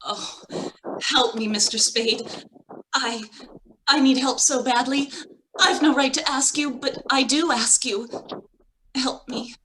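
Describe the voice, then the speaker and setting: A slippery femme fatale in distress, acted by a woman in an old radio melodrama. Her voice is highish and sharp-edged, drawn out slowly with hesitant, pleading emphasis over a faintly noisy video-call line. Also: steady tone, exaggerated voice. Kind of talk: 1940s radio drama acting. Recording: bright video-call audio, faint noise.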